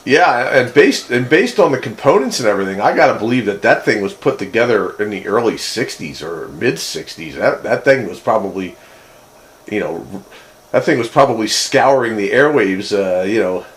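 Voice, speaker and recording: A man's voice: fairly low pitch, lively intonation, moderate pace, a little hiss or hum, poor audio. A man in casual technical chat, musing aloud in a hesitant way and often rephrasing himself. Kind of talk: casual technical chat about vintage radio gear.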